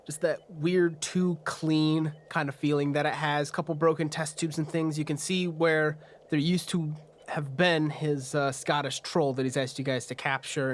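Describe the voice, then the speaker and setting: A man hosting and narrating a tabletop roleplay game as its game master. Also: a little high. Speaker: game master and show host.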